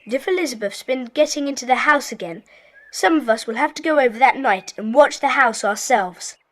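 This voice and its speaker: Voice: sits high, expressive, brisk, fairly bright tone. A performer playing a bossy, decisive British schoolboy club leader in a children's audio drama, speaking emphatically. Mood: firm. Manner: emphatic, commanding.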